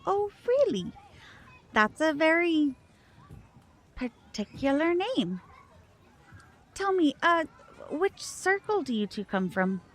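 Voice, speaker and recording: A woman acting a protective magician mother in an audio drama, polite and measured, with a suspicious edge. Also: high-pitched, sing-song, a bit bright, exaggerated voice. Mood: gracious, probing.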